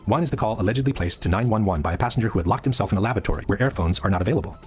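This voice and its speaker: A man reading scripted documentary narration in a measured, expository manner, sounding sped up. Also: on the low side, a little mellow. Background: background music.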